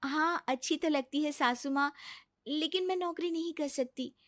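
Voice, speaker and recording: Woman playing high-voiced gentle young daughter-in-law. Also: normal speed, no echo, studio quality, close to an everyday voice. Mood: polite, content.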